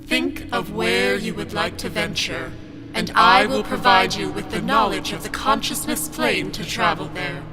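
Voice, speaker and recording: A solemn, otherworldly cosmic guide, played by a performer in a radio play, with prominent music behind. The delivery is measured and cryptic, as if revealing ultimate secrets. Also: mid-range voice, expressive, close to an everyday voice, low-quality recording. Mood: guiding, solemn.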